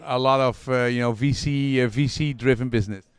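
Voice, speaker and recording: A man's voice: mid-range voice, steady tone, a little fast, clear, resonant tone. A man interviewing a guest, asking casual questions and summing up the answers in his own words. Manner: summarizing, leading questions. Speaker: interviewer and channel host.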